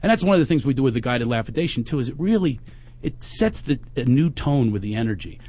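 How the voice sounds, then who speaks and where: A man's voice: medium pitch, very animated, brisk, dark tone, breathy edge. A man from Philadelphia with a Philadelphia accent, hosting a podcast in a reflective, motivational way. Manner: reflective, motivational, spiritual encouragement. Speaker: podcast host. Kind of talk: casual podcast talk.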